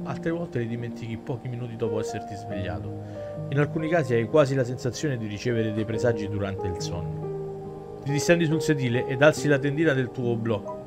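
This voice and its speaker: Male game streamer, thirties, theatrical narrative reading, mid pitch, clear musical backing. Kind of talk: dramatic reading aloud of a visual novel with asides to chat.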